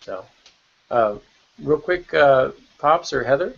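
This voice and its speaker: A man with a mid-pitched, creaky voice hosts a podcast. He talks casually as he moves the discussion along, on a slightly dull recording. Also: lively intonation, slow. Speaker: podcast host. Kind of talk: casual hosting chat. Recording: dull lo-fi audio.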